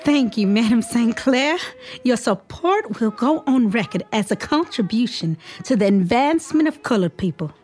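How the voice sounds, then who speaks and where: A woman's voice: fairly high pitch, animated, moderate pace, darkish tone, natural voice. An actress playing an eager, spirited young woman writer in an audio drama, polite and persuasive as she seeks patronage. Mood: earnest, persuasive.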